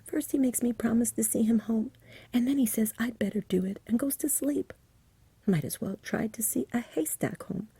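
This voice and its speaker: A woman voicing a tired, tearful little boy, plaintive and complaining in a soft whispered storybook reading. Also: fairly high pitch, normal speed, vocal fry, slightly rough, no echo, voice pushed up, exaggerated voice. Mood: sobbing complaint.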